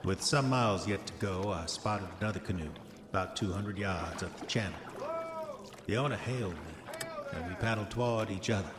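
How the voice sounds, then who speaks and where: A man's voice: low voice, moderate pace, very noisy, close to an everyday voice. An actor playing a haunted, hot-tempered prospector confessing in his diary, with formal old-fashioned, brooding delivery in a horror audio drama. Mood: solemn, recounting. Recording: echoey voice with long reverb, music behind. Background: music.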